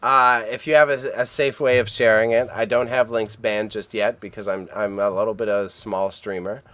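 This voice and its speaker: A man's voice: on the low side, little pitch movement, moderate pace, fairly bright tone. A Canadian man in his thirties, livestreaming from a room at home, muttering to himself as he edits photos and then chatting casually with viewers. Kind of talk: casual live-stream chat.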